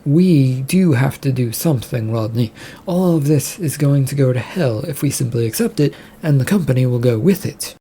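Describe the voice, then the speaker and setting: A man's voice: medium pitch, animated, normal speed, warm tone, a little hiss or hum, lightly acted. A man voicing a troubled, insistent male shipping company boss in a story, with an urgent, grumbling manner.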